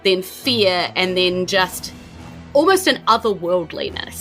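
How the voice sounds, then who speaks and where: A woman with a New Zealand accent, narrating as game master in a halting, searching way with many "likes". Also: fairly low pitch, animated, faint music behind.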